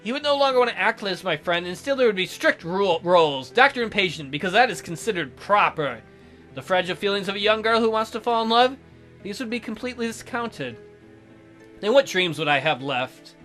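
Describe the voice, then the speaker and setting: A man's voice: sits high, animated, brisk. A man hosting a let's play, reading visual novel narration aloud with casual asides. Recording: soft music behind the voice.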